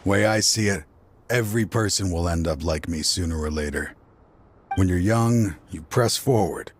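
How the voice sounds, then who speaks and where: Tired older game character, regretful father; very deep, slow, sighing. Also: a little hiss or hum. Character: aging ex-partner, grieving regretful father. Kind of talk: game cutscene voice acting.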